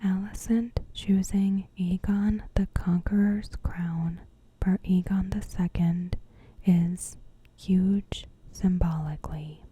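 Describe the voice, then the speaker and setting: A woman narrates in an ASMR whisper, extremely breathy and mellow. Her delivery is slow, gentle and carefully phrased, staying very even in pitch as she reads her scripted recap. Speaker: ASMR host.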